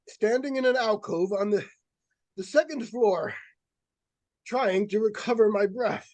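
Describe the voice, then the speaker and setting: Man acting a slow, high-pitched, portentous ghost researcher. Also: no echo, full character voice. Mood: shaken, breathless dread. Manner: grave, deliberate, formal, theatrical. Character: earnest, portentous male psychic researcher and ghost hunter. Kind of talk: live old-time radio suspense acting.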